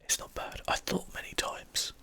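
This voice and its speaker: A whispered ASMR commentary over gameplay, almost entirely unvoiced. The whisper is breathy and very bright, with a sharp, airy edge. The speaker muses aloud and takes time over each thought. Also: moderate pace. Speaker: host of a game play channel.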